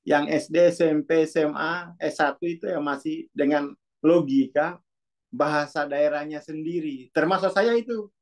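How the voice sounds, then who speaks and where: A man's voice: on the high side, normal speed. A man, a Muna speaker, talking in a casual, reflective monologue that is loose and full of asides. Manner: musing, self-correcting, loose with many asides. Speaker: speaker on consciousness and self-development.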